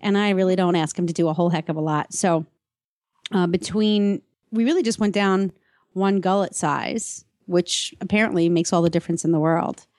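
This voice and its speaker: A woman's voice: lowish voice, normal speed, a little mellow, no room sound, clean studio sound. A woman hosting a podcast, chatting casually in a playful, colloquial way. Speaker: podcast host. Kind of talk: casual chat, conversational interviewing.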